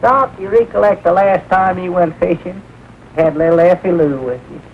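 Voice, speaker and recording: High, hesitant Southern hayseed sidekick, agreeable and simple; muffled 1940s movie sound with faint noise. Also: male voice, normal speed. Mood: mild, agreeable. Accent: rural Southern American. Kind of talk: 1940s film comedy acting. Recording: dull, noisy old film soundtrack.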